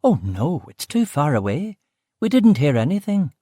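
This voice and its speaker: A woman's voice: sits low, big pitch swings, moderate pace, mellow, no room sound, clean studio sound, pitched above the performer's own voice. A man voicing a blunt middle-aged woman cook with a West Belfast accent, in clipped local speech, with his voice raised well above his own. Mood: wary.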